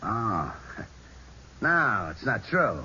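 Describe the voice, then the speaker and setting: A man's voice: lowish voice, very animated, very slow, pushed and bright, breathy edge, full character voice. An actor playing a sly, cornered male crook suspect in a 1940s radio drama, with a cocky, wheedling manner. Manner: wheedling, cocky then snarling. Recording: dull old radio broadcast.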